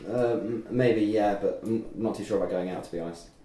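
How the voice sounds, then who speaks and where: A reluctant, dry young male student, acted by a man at a table read. The voice is lowish and mellow. It is picked up in a roomy space. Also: fairly quick, lightly acted. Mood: hesitant. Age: young adult. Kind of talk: script read-through. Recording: roomy, distant mic, faint noise.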